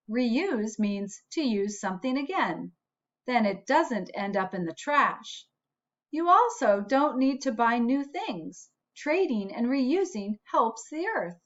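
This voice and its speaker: A woman school principal reading a picture book aloud to children in an expressive, deliberate, teacherly way, with asides to her listeners. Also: on the high side. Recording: dull, lo-fi recording.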